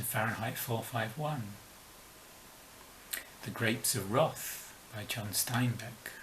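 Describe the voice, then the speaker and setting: Middle-aged male book vlogger, faint London accent, low register, measured delivery, faint tape hiss. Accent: weak London accent. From England. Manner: measured, halting with pauses and fillers. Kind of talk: casual book review talk.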